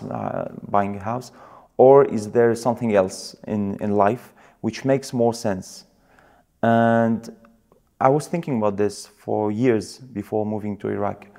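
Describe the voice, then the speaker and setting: A young man from France, whose mother tongue is Suret, giving thoughtful interview answers and often pausing to search for words. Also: lowish voice, darkish tone. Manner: reflective, searching for words with many fillers. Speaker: guest, founder.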